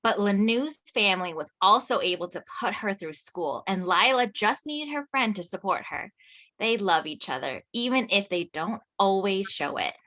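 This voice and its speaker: A woman's voice: mid-range voice, animated, moderate pace, sharp tone. A woman reading an eager, chatty young woman friend at a script table read.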